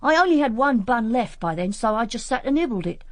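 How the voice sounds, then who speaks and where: A woman's voice: a little high, animated, brisk, no room sound, natural voice. A woman voicing a cheeky, matter-of-fact country schoolboy with a rural English accent in a radio drama.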